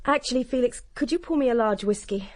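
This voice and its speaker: Brittle daughter of the house female actor, radio drama: slightly high voice, blunt, strained. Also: quick pace, natural voice. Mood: fed up. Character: brittle, blunt upper-class young woman.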